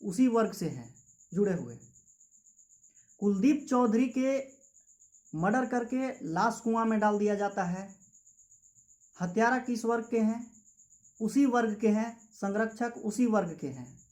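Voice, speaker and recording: Young male student activist, high-pitched voice, Jharkhand Hindi accent, impassioned political speech, repetitive rhetoric. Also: expressive, measured pace, long pauses, darkish tone. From Jharkhand, India. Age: young adult.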